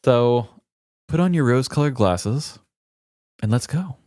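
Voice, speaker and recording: A man's voice: mid-range voice, lively intonation, measured pace, a little mellow, no room sound, clean studio sound. A man co-hosting a comedy podcast, moving between casual banter and scripted humorous storytelling.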